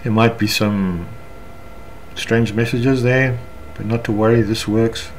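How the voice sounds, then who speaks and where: Low-pitched South African man, noisy recording. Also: fairly even intonation. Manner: halting, step-by-step explaining. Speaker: software tutorial instructor. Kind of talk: unscripted screencast walkthrough. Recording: clear background noise, room ambience.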